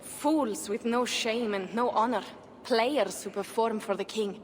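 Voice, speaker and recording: A woman's voice: on the high side, measured pace, lightly acted. A woman voicing a proud but self-doubting Viking shield-maiden in a video game, blunt and earnest. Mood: scornful, dry. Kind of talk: dramatic video game acting. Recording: reverberant, with faint ambient noise.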